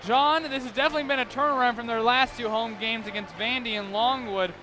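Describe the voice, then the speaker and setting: A man's voice: very high-pitched, steady tone, fast, over music. An American man doing live basketball play-by-play as an announcer, describing the action in running detail from courtside in a big arena. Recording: loud crowd and arena noise behind.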